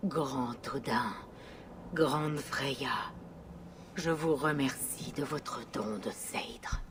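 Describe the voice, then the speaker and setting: An actress playing a mystical village seeress in a video game, chanting and whispering slowly in a ritual hush. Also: female voice, a bass voice, slight background noise, exaggerated voice. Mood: whispered prayer. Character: Norse seeress woman, mystical and solemn.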